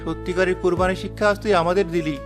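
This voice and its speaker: Poor devout cartoon father, earnest and tender, high-pitched, played by a man. Soft music bed. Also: fairly even intonation, racing, close to an everyday voice. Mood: moved. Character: poor, humble, devout village father, gentle and accepting. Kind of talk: cartoon moral drama dialogue.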